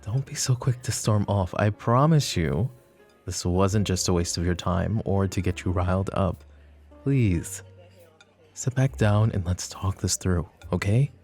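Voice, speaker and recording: A man playing a smug, flirty, possessive mafia boss in a romantic ASMR roleplay, teasing and suave, with unhurried pauses. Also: low-pitched, a little mellow, faint music behind. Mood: earnest, placating.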